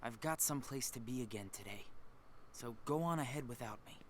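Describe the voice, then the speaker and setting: A man's voice: mid-range voice, moderate pace, slight background noise, close to an everyday voice. An actor playing a naive, reserved teenage boy in a video game, hesitant and questioning. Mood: puzzled. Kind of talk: video game cutscene voice acting.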